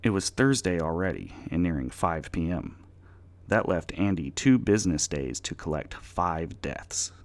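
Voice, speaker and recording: A male narrator reads a story aloud as a dramatic audiobook. His voice is low and creaky, with noticeable fry on the words. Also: animated, unhurried. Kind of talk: dramatic reading of scripted fiction.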